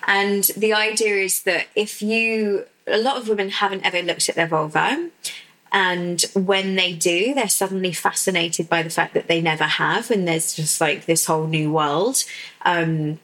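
A woman who works as a sexuality coach, explaining softly and unhurriedly as a guest in a podcast interview, with many fillers. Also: lowish voice, fairly even intonation, normal speed, low-quality recording.

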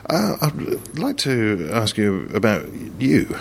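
A man presenting an on-location interview, speaking in a measured, wryly playful way. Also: on the low side, wildly swooping pitch, creaky, slight background noise. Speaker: radio presenter.

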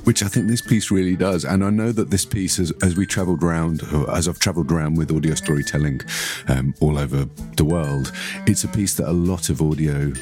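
A man's voice: very deep voice, fairly quick, a little mellow, faint music behind. A man co-hosting a podcast, explaining things with enthusiasm in discussion. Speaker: podcast co-host.

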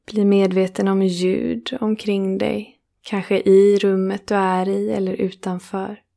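A woman leading a guided relaxation meditation, speaking slowly and soothingly with long pauses between phrases. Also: mid-range voice, little pitch movement, gravelly, dark tone.

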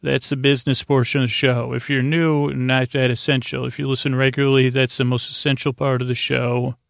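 A man hosts a sleep podcast in a gravelly, creaking voice that barely moves in pitch. He meanders through his monologue haltingly, in a lulling, soothing manner. The sound is dry and close, with no room to it. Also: level tone, no echo. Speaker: host of a bedtime podcast. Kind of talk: rambling, meandering monologue for falling asleep.

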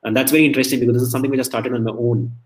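A man from India speaks as an interview guest, rattling off stories at a fast clip. His talk is chatty and loose, full of restarts. He sounds middle-aged. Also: mid-range voice, level tone. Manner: chatty, storytelling, many restarts. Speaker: interview guest, emcee and entertainer.

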